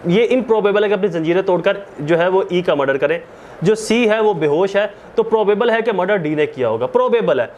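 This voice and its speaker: Casting: male law lecturer, Pakistani accent, high voice, didactic and repetitive for emphasis, faint noise behind him. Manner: didactic, asks and answers own questions, repeats points for emphasis; code-switches into English legal terms. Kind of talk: explanatory lecture.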